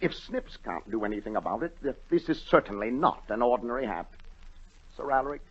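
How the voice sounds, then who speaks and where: A man's voice: medium pitch, lively intonation, fairly quick, husky. An actor playing an imperious, easily outraged king in 1940s radio drama, exclaiming and repeating himself. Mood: commanding. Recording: dull vintage 1940 radio recording.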